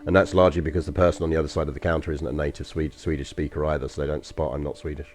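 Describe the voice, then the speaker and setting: A man with a London accent, deep-voiced, muses aloud to his stream chat while playing a strategy game. His tone is mellow and unhurried, and his sentences wander with ums and uhs. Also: level tone, soft background music. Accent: London English. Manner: rambling and chatty, frequent ums and uhs. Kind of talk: casual gameplay commentary to stream chat.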